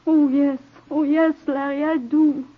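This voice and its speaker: A tinny, phone-like old radio recording of a young immigrant. Her voice is high and pleading, earnest and fearful, with careful phrasing in 1940s radio melodrama style. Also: little pitch movement, a little slow. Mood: earnest faith. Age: 20s. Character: frightened, devoted twenty-year-old immigrant woman, pleading.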